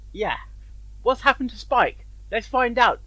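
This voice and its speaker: A man's voice: extremely high pitch, lively intonation, slow pace, sharp tone. A man giving casual live reaction commentary as a video host, with short interjections and phrases echoed back.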